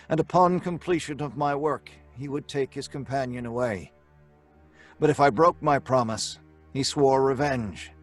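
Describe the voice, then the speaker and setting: An actor playing a tormented, secretive scientist in an old-time radio drama, speaking in a grave, deliberate, dramatic way. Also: male voice, medium pitch, close to an everyday voice. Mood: grave, haunted. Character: tormented male scientist, guilt-ridden, secretive and defiant. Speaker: lead character and narrator.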